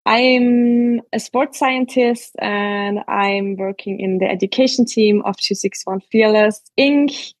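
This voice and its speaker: A woman's voice: medium pitch, slow pace, dry recording. A woman with an Austrian accent, a native German speaker, explaining things carefully as a podcast guest. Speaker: podcast guest, sports scientist. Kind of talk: careful explanatory interview talk.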